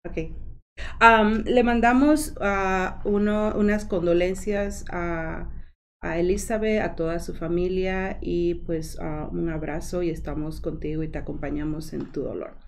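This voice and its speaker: A woman's voice: on the low side, fairly even intonation, unhurried. Woman hosting a morning talk show, chatty, lots of ah and um. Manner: warm, chatty, frequent fillers like "ah" and "am". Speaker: host. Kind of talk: casual chat show interview.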